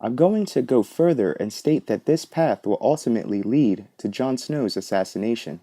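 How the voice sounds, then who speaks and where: A man's voice: mid-range voice, animated, moderate pace, dark tone, no echo, clean studio sound. A man hosting a podcast, delivering scripted analytical commentary in an explanatory way. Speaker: podcast host.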